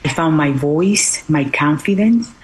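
A woman with a Venezuelan Spanish accent speaks in a low, husky voice. She is an interview guest making an earnest appeal, pausing to search for her English phrasing. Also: animated. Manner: non-native English phrasing with searching pauses. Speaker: interview guest, charity foundation director.

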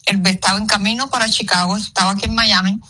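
A woman's voice: mid-range voice, fairly even intonation, quick pace, pushed and bright, breathy edge, low-quality recording. An older woman, the host's mother on the phone, answering in a giggly and affectionate way.

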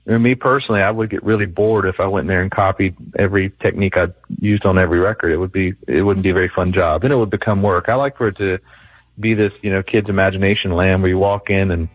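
Fast-talking husky-voiced man, rambling. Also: sits low, steady tone, dry recording, rough recording. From American South. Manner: rambling, many restarts and "you know"s. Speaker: music producer, guest. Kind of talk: casual interview talk.

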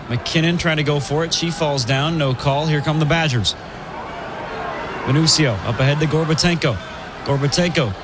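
A man calling live women's college hockey play-by-play, brisk and chatty, laughing easily between plays. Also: a little high, background noise. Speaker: play-by-play commentator.

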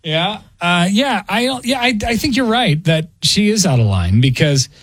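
A man's voice: sits high, very animated, measured pace, a bit bright, no room sound, clean studio sound. A man hosting a radio show, chatting and joking in a rambling way. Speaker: radio morning show host. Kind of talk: casual radio banter. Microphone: studio microphone.

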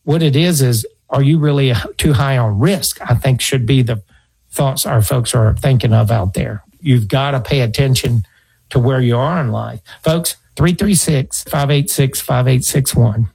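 Man in his fifties, gravelly voice, husky tone, earnest advisor talk. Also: mid-range voice, moderate pace, darkish tone, low-quality recording. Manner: earnest, storytelling, repeats himself. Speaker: financial advisor radio host. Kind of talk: conversational advice talk.

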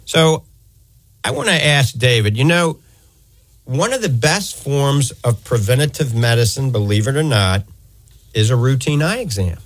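A man's voice: medium pitch, animated, a little slow, dry recording. An American man hosting a live health call-in show, explaining things fluently and emphatically on a close studio microphone. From New Orleans area, United States. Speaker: radio call-in show host, eye doctor. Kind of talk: live health talk radio.

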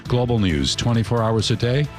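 A man reading a scripted radio news bulletin in a crisp, even newsreader delivery. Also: medium pitch, normal speed, soft background music.

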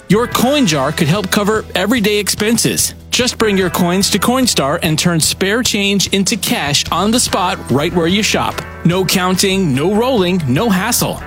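A man's voice: sits high, fairly bright tone. Upbeat male commercial voice. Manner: upbeat, conversational. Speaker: ad announcer. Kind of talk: friendly commercial read.

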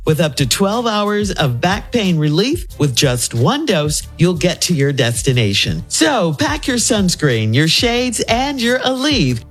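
Upbeat polished woman ad voice. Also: medium pitch. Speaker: commercial announcer. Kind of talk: scripted ad read.

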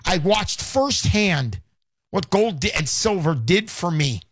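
Male radio pitchman, sixties. High-pitched, emphatic, folksy and boastful, recorded very dry. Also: expressive, slow pace, a bit bright, husky, no room sound. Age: 60s. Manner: fervent, emphatic, repeats himself. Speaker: radio call-in show host and pitchman. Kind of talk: live radio sales talk.

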